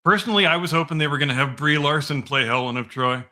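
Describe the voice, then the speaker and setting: A man's voice: fairly high pitch, quick pace, bright, forceful tone. A man co-hosting a podcast with casual, sarcastic comedic banter and mock announcer riffs. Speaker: podcast co-host.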